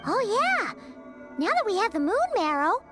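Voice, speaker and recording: A woman's voice: very high-pitched, sing-song, moderate pace, music in the background. A woman voicing a small, perky, childlike fairy companion in a video game, chirpy and exclamatory. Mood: eager, reassuring. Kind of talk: English video game voice acting.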